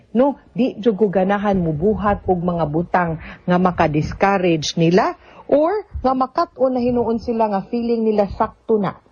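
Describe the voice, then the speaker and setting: A woman's voice: mid-range voice, darkish tone, no echo. Cebuano woman radio host, dull lo-fi sound. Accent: Cebuano Filipino. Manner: conversational, mixes in English terms, tag questions. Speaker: host of a radio advice programme; also a teacher. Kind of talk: radio legal advice, reading letters and answering.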